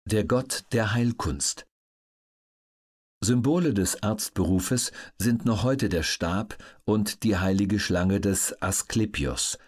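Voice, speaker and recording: A man's voice: fairly low pitch, darkish tone, slightly rough. A man narrating scripted educational documentary material as an audiobook reader.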